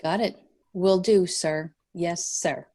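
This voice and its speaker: A woman's voice: lowish voice, fairly even intonation, slow pace, mellow, dry recording, clean studio sound. An actress at a table read playing a capable, self-assured female bounty cop with a wry, clipped manner. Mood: dutiful. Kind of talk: screenplay table read acting.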